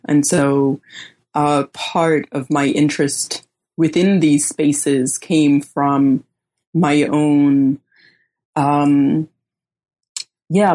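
Bahamas-born woman guest, low voice, unhurried, thinks aloud with frequent ums. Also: mellow. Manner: slow and measured, many ums and pauses. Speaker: guest author. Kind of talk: thoughtful academic interview answers.

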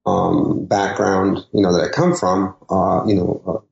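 A man's voice: deep voice, level tone, a little slow, vocal fry, a little mellow. A man in his fifties from San Diego, a native Spanish speaker, talking as a poet guest in a casual interview, hesitant with many ums and restarts. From San Diego, California. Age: 50s. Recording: echoey lo-fi remote line.

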